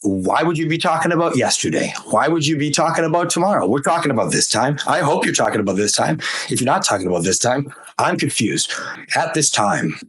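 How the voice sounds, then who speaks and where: A man's voice: medium pitch, big pitch swings, a little fast, a bit bright, slightly rough. A man hosting an opinion podcast, ranting casually with exasperated sarcasm and repeating phrases for comic emphasis. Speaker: podcast host. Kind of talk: opinion rant, casual monologue.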